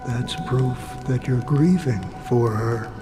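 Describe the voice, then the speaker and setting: Male actor as calm game wanderer, measured, curious, lightly teasing, reverberant hall sound, faint music. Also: medium pitch, close to an everyday voice. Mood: sympathetic, probing. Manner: measured, lightly dry, thoughtful. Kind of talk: dramatic game dialogue.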